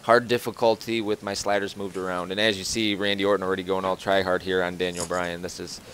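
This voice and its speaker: A man's voice: low-pitched, flat delivery, fast, fairly bright tone, a little hiss or hum. A man hosting a casual podcast from home over a voice call, rambling with lots of fillers and restarts. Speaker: podcast host.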